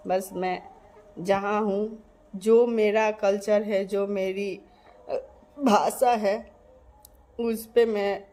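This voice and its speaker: Woman vlogger from Bihar, earnest and emphatic monologue to camera, average pitch. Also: fairly even intonation, very slow, pauses often, warm tone, rough recording. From Bihar, India. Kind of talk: casual direct-to-camera monologue.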